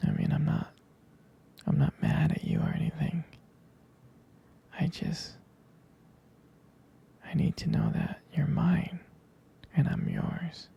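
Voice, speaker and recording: A man playing a tender, possessive young boyfriend in an intimate ASMR roleplay, speaking in a husky, halting, gravelly whisper. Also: fairly high pitch, flat delivery, full character voice. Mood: jealous, intense. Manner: slow, halting, softly reassuring.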